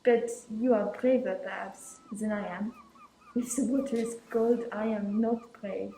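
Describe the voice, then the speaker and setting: A teenage girl playing a shy young French woman with a French accent, speaking slow, careful broken English. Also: mid-range voice, warm tone, reverberant, slight background noise, close to an everyday voice, poor audio. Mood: polite. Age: teens. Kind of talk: school radio play.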